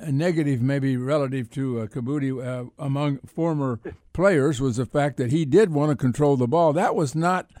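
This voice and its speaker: American guy on sports radio, halting, repeats himself, dry studio sound. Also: medium pitch, dark tone, no room sound. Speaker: radio show co-host. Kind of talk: casual sports talk.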